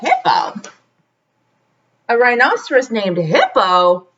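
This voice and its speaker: A woman's voice: fairly high pitch, wildly swooping pitch, slow pace, muffled recording, noisy background, pitched below the performer's own voice, exaggerated voice. A woman voicing a small, polite, earnest male porcupine in a children's storybook. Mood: amused. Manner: earnest, polite, simple phrases. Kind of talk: storybook read-aloud character voice.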